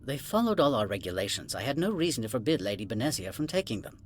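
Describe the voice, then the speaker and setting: A man's voice: mid-range voice, big pitch swings, fast, natural voice. Man voicing a haughty, curt alien administrator, noisy backdrop. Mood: guarded, formal. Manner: condescending, clipped, formal. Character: haughty, curt alien corporate administrator, male, dismissive of outsiders. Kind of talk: video game cutscene acting.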